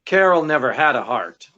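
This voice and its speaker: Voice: mid-range voice, big pitch swings, measured pace, bright, forceful tone, highs cut off. An actor playing a wisecracking, unflappable male amateur detective in 1940s radio style, with a droll, teasing, hesitant delivery. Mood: wry, amused. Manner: droll, sardonic, chuckling hesitations.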